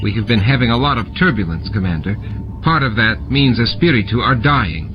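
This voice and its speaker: A hot-headed woman officer on a space station, performed by a male audiobook reader, pressing her argument with urgency. The recording is dull and lo-fi, with music playing loudly behind. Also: lowish voice, lively intonation, fairly quick, lightly acted. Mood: insistent. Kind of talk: audiobook character dialogue.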